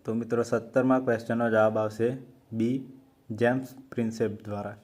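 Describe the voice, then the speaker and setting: A man with a Gujarati accent hosting an exam-prep quiz, reading out questions and options slowly and carefully. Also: mid-range voice, little pitch movement, darkish tone. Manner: slow, measured reading of options. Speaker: quiz host for exam preparation.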